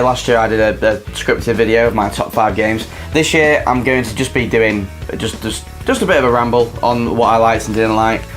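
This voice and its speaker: A young man, slightly ill with a raspy voice, rambling casually through his favourite games of the year with lots of fillers. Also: mid-range voice. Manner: chatty, many fillers and restarts. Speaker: video game reviewer. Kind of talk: casual rambling game review.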